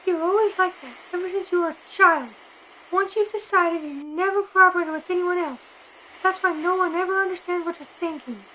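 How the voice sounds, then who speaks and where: An amateur actress playing a stern, reproachful older sister from a tribe, earnestly scolding in an anime fan dub. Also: female voice, very high-pitched, brisk, pushed and bright, a little hiss or hum, rough recording.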